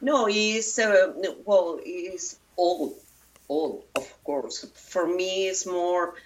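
Woman filmmaker, slow and halting. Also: fairly low pitch, far from the mic, slight background noise, low-quality recording. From Venezuela. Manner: halting, many restarts and fillers. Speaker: documentary filmmaker. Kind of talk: interview.

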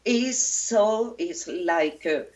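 Woman filmmaker, slow and halting. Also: fairly low pitch, animated, darkish tone, distant mic. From Venezuela. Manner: halting, many restarts and fillers. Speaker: documentary filmmaker. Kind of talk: interview.

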